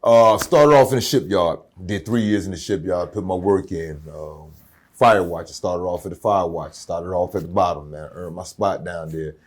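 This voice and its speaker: A raspy-voiced rapper from Virginia in his thirties, talking casually and with drive as an interview guest. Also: sits low, normal speed. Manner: aggressive, energetic delivery.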